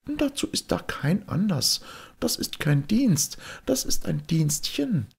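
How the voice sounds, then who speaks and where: A man voicing a calm, reassuring young wife with magic powers in a fairy tale, soothing and coaxing. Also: a little high, slow, creaky, slightly rough, voice pushed up, full character voice. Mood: gentle, reassuring.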